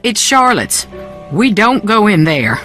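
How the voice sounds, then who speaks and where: A weary, guarded woman keeping secrets in a mystery video game. Her medium-pitched voice moves with lots of inflection, folksy and evasive, over faint music. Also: sing-song, takes it slowly, a bit bright. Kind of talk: video game dialogue acting.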